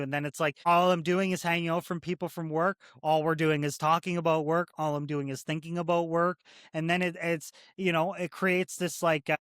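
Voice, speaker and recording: A man's voice: on the high side, fairly bright tone, dry recording, clean studio sound. A man in his thirties from Canada, a corrections officer guest talking casually and rambling, with many "like" and "right?" fillers. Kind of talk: casual interview conversation.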